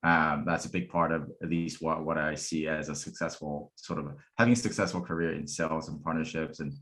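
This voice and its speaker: A man with a very low voice speaks as a panel guest. He is thoughtful and halting, often pausing and restarting his sentences. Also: fairly even intonation, fairly bright tone. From Taiwanese family. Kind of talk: casual panel talk.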